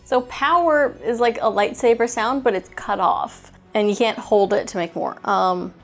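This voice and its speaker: A woman's voice: a little high, normal speed, faint music behind. A woman giving a casual, unscripted product review as a host, chatting and thinking aloud as she handles items.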